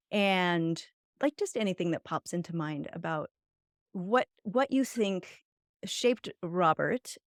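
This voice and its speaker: A woman with a slightly low voice hosts a podcast interview. She wanders through long, roundabout questions in a casual, chatty way, recorded dry and close. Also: dry recording. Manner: circuitous, rambling questions. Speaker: podcast host.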